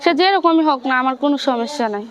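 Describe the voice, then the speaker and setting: Fast, high-pitched young Bangladeshi woman. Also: lively intonation. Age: young adult. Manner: repeatedly checks listener understands. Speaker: interview guest, domestic worker. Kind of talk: informal interview, recounting own hardship.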